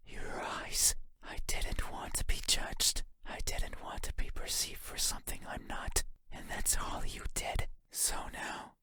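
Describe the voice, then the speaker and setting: A man plays an unsettling creature of the night from a horror game, wounded and menacing. The voice is a breathy whisper with almost no tone in it. Each phrase comes very slowly and deliberately, with obsessive intensity. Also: natural voice.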